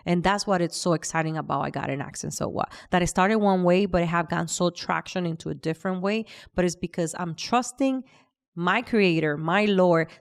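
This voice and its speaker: Earnest woman podcast host, low voice. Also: gravelly. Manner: earnest, faith-driven, frequent restarts. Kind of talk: casual podcast chat.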